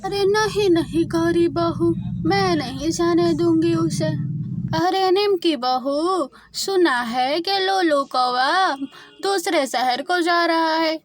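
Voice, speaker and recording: A woman's voice: very high voice, takes it slowly. A woman voicing an older, anxious, easily swayed mother-in-law crow in a children's cartoon, simple and concerned. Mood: worried, forbidding.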